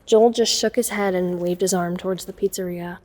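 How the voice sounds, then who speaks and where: A woman's voice: on the low side, fairly even intonation, fairly quick, a little mellow, lightly acted. A woman playing a watchful young woman who narrates a night break-in in a scripted audio drama. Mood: measured, suspenseful. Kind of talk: scripted first-person narration.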